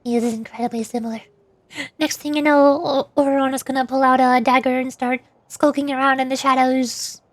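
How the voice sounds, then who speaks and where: A woman's voice: sits high, flat delivery, a little fast, dry recording. A woman streamer chatting in a playful, rambling way during a casual gaming livestream. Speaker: streamer, VTuber. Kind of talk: casual gaming livestream chat with voiced dialogue.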